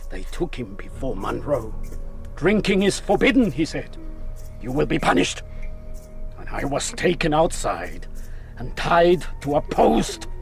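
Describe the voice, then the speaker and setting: An actor playing an embittered, proud Huron warrior chief in a radio drama, speaking in a solemn, declamatory way. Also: male voice, a little high, creaky, exaggerated voice. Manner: solemn, declamatory, speaks of himself in third person.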